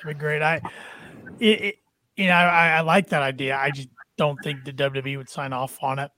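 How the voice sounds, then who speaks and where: A man co-hosting a casual podcast, chatty and quick to agree. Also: on the high side, steady tone, pushed and bright. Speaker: podcast co-host. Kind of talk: casual wrestling podcast chat.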